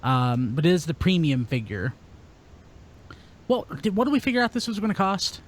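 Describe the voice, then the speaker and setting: A man hosting a casual panel podcast, reading out news items in a halting way and trailing off mid-sentence. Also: high voice, big pitch swings.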